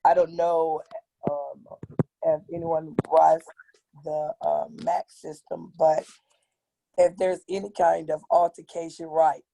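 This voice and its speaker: A woman with a raspy, hoarse voice telling a personal account on an online panel, halting and pausing often. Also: low voice, little pitch movement, warm tone, poor audio. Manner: slow, halting, with long pauses. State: hoarse from tear gas. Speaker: activist guest. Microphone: video call.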